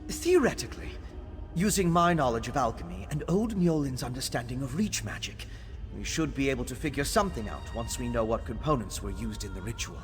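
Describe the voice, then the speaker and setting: An actor playing an earnest, scholarly alchemist in a video game, explaining things in a thoughtful, tentative way. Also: male voice, medium pitch, expressive, a little fast, soft background music, natural voice. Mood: thoughtful, curious. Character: earnest scholarly male vampire alchemist adventurer. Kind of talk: video game character dialogue.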